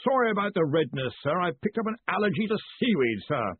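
A nervous, timid researcher from a fantasy storybook. He is high and squeaky, hesitating and quavering with meek deference. The sound is thin and phone-like. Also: very animated, fast talker, husky, no room sound, heavily acted. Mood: apologetic.

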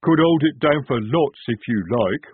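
A man voicing a slow, simple-minded, easygoing female troll soldier. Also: medium pitch, breathy edge, no echo, pitched above the performer's own voice, lightly acted. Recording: tinny phone-like dry sound.

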